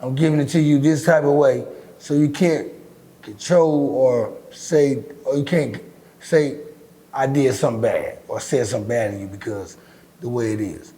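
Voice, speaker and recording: A middle-aged man with a deep, raspy voice, talking bluntly and with lots of swearing as an interview guest. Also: normal speed. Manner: blunt, profane, animated storytelling. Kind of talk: casual interview talk.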